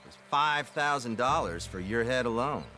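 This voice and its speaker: An actor playing a smug, menacing Pinkerton agent in the Old West, speaking in a formal, condescending way for a western video game. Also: male voice, medium pitch, expressive, moderate pace, pushed and bright, faint music behind. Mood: cold, official. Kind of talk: cinematic western game acting.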